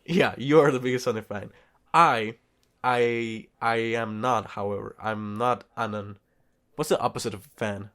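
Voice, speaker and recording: A man's voice: medium pitch, fairly even intonation, moderate pace, fairly bright tone. A man co-hosting a film review podcast in casual conversation, repeating phrases for emphasis and swearing offhandedly. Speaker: podcast co-host reviewing films. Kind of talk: casual chat.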